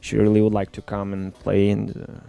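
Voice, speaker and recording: A man in middle age with a low, gravelly voice and a mellow, dark tone, speaking English as an after growing up in Ukraine. He talks haltingly, with lots of fillers and restarts, in a relaxed studio chat. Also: dry recording. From born in Russia, grew up in Ukraine. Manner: halting, many fillers and restarts, non-native phrasing. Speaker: musician guest. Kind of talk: casual interview chat. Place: small studio.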